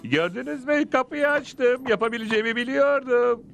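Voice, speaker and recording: Very high-voiced pompous cartoon outlaw. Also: male voice, normal speed, creaky, a bit bright, soft background music, heavily acted. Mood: pompous, commanding. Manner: grandiose, commanding. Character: pompous, deluded outlaw who claims supernatural powers. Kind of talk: Turkish cartoon dub acting.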